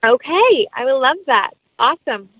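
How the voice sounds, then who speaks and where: A woman's voice: high voice, very animated, normal speed, no echo. Effusive American woman, slightly dull dry recording. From North Carolina, United States. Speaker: guest actress. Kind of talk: casual interview conversation.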